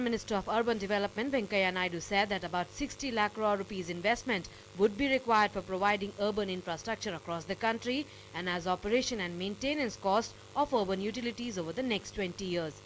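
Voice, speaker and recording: A woman's voice: mid-range voice, a bit bright, slight background noise, poor audio. A woman with an Indian English accent reading a scripted news report as a voice-over.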